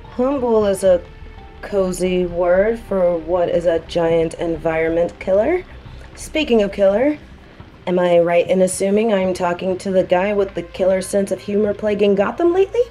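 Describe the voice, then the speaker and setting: A woman playing a quick-witted, sarcastic reporter in an audio drama, delivering wry quips as an unfazed captive. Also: medium pitch, expressive, warm tone, soft background music, lightly acted, poor audio. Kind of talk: scripted audio roleplay dialogue.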